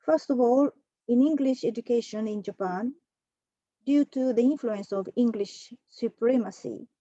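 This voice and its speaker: A woman's voice: a little high, mellow, dry recording, lo-fi sound. Woman reading an academic talk. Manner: measured, reads from a prepared text with occasional fillers. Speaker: conference presenter. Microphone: computer mic for an online talk.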